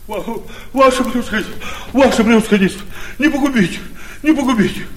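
An actor playing a panicky provincial mayor, frantically and obsequiously pleading, in a vintage radio stage comedy. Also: male voice, very high voice, fast talker. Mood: panicked. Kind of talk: classic stage comedy acting, radio play. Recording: vintage 1940s radio theatre recording.

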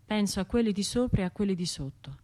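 A woman's voice: mid-range voice, expressive, fast talker, lightly acted. An actress playing a traumatised, self-reproaching woman survivor in a radio drama monologue, speaking in fragmented, obsessively repeated phrases. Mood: despairing, guilty. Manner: fragmented, repetitive, obsessive delivery with hushed and surging phrases.

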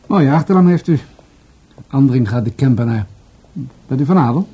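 An actor playing a courteous older police commissioner in a radio play, polite, hesitant and a little old-fashioned. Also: male voice, medium pitch, expressive, normal speed, warm tone, breathy edge. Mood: puzzled, courteous.

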